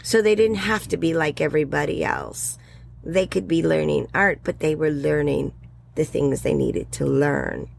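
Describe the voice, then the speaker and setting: A woman in her sixties, a guest in an interview, telling stories in a rambling, animated way with fillers. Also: deep voice, moderate pace, gravelly, slight background noise. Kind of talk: casual interview chat.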